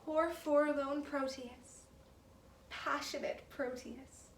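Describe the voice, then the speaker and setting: A woman acting a passionate, lovesick young noblewoman in a theatrical Shakespearean stage monologue, dramatic and emphatic, lingering on words. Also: high-pitched. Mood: tender, rueful. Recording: roomy, distant mic, faint background noise.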